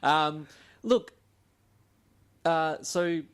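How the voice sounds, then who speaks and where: A man podcast host casually discussing a book, explaining in loose, halting phrases with repeats. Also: on the high side, a bit bright, clear, resonant tone.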